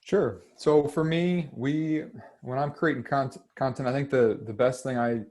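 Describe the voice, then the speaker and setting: A man answering as a video creator on a webinar video call, measured in his delivery. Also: mid-range voice, darkish tone. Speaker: panel guest, video creator. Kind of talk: casual panel answer.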